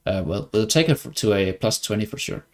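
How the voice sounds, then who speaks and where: A man's voice: lowish voice, animated, a little fast. A man from Denmark with a Danish accent, chatting casually and wryly as he comments on his livestreamed gameplay. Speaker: game streamer.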